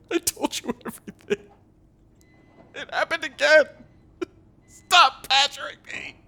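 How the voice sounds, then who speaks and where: A man's voice: extremely high pitch, slow pace, gravelly, bright, forceful tone, full character voice. A man playing an agitated, grief-stricken widower in an audio drama, distraught, repetitive and obsessive. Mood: irritated.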